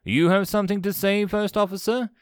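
A man's voice: sits high, fairly even intonation, a little fast, clear, resonant tone, no echo, studio quality, pitched above the performer's own voice, close to an everyday voice. A man voicing an imperious, easily exasperated alien fleet commander in a sci-fi story, with a pompous, snarling delivery. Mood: incredulous, irritable. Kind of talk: sci-fi audio story character.

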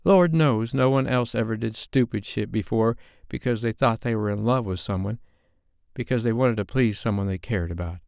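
A man's voice: on the low side, normal speed, gravelly, a little mellow, clean tone, dry recording, clean studio sound, pitched above the performer's own voice. A man voicing an upset young woman in an audiobook, speaking in a raised, strained, heated way. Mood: angry, bitter.

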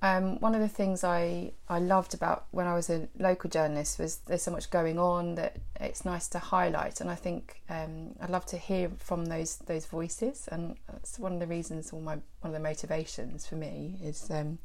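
A woman's voice: fairly low pitch, flat delivery, moderate pace, few pauses. A woman, co-hosting a casual podcast chat in a chatty way. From West Sussex, England. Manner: chatty, with fillers and laughs. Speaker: podcast co-host.

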